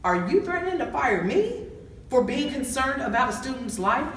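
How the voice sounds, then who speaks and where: A woman's voice: sits high, very animated, normal speed, fairly bright tone. A woman acting an earnest, principled college counselor in an amateur courtroom drama. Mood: indignant, urgent. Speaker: actor in an amateur student film. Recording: echoey, distant, noisy room.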